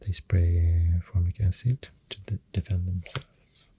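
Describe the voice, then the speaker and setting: Very dark, breathy Swedish-accented ASMR whisperer. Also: deep voice, steady tone. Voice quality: hushed, breathy, partly whispered. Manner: halting, gentle, unhurried. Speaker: ASMR video host. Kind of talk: soft-spoken ASMR, rambling facts.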